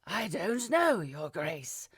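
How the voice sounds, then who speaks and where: A woman voicing a scheming male royal adviser who speaks in smooth, formal deference. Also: fairly low pitch, big pitch swings, takes it slowly, no echo, studio quality, full character voice. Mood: deferential.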